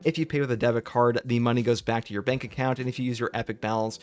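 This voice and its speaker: A man's voice: mid-range voice, steady tone, fast, a little mellow. A man hosting a gaming channel, casually commenting and reading out update notes.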